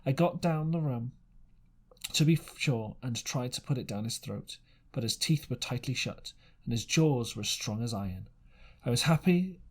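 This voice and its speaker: A man's voice: medium pitch, darkish tone, husky. A man reading an adventure novel aloud as an amateur narrator, stumbling now and then and correcting himself. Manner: halting reading with misreadings and corrections. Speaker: amateur audiobook reader.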